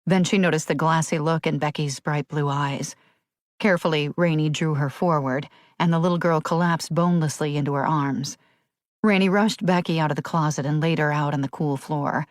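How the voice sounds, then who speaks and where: A woman with a fairly low voice narrates a thriller audiobook. The delivery is scripted and dramatic, and the sound is dry, with very little room in it. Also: steady tone, normal speed, dry recording.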